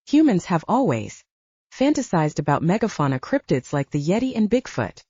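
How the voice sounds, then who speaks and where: Mellow, academic male professor , read by a woman. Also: fairly low pitch, big pitch swings, breathy edge, no room sound, muffled recording. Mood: coolly certain. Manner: academic, measured. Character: male professor, brainy debunking lecturer, coolly certain. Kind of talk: audiobook character dialogue.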